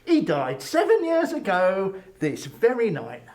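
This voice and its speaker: A man's voice: high-pitched, wildly swooping pitch, a little slow, a little mellow, exaggerated voice. A man playing a cold, miserly old businessman, curt and sarcastic, in a dramatic audiobook of a Victorian ghost story. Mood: coldly sardonic.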